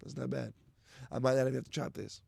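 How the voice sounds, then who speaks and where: A young man in a loose, profane rant to his viewers, his voice raspy from the weather. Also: mid-range voice, little pitch movement, fast talker, darkish tone, dry recording. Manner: loose, profane, rambling with restarts. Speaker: vlogger. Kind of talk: casual rant to camera.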